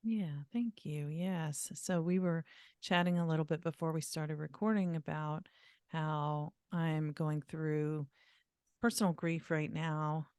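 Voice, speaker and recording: Low slow-spoken woman host. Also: steady tone, smooth, dry recording, clean studio sound. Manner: gentle, emotional, tearful at times. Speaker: podcast host. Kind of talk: casual interview talk.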